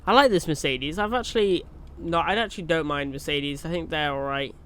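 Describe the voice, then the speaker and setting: A man's voice: fairly high pitch, fairly quick, sharp tone. Chatty young man with a cold. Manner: rambling, chatty, with many fillers and restarts. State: has a cold. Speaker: gaming YouTuber. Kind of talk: casual gameplay commentary.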